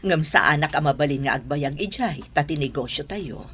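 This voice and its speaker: A woman's voice: deep voice, lively intonation, moderate pace, a bit bright, slight background noise. An actress playing a caring middle-aged mother in an Ilocano radio drama, with an Ilocano accent. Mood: gentle concern.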